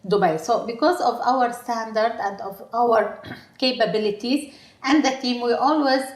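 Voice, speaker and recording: A woman's voice: a little high, measured pace. A woman from Syria, a hospital chief executive, answering interview questions in English in a hesitant way, with many fillers and restarts. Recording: roomy echo.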